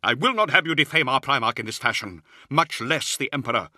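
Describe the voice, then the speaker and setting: A furious, imperious warrior, played by a male narrator, pitched well above his normal talk. He is forceful and roaring, a stern loyalist in a fantasy audiobook. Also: expressive, fairly quick, dry recording, clean studio sound. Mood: outraged. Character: outraged, commanding warrior-psyker loyalist, male. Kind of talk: dramatic audiobook character acting.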